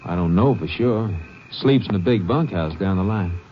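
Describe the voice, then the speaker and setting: An actor playing an affable, helpful sawmill worker with a folksy way of talking in a radio western. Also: male voice, low-pitched, lively intonation, normal speed. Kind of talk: old-time radio western acting. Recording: dull, hissy old radio recording.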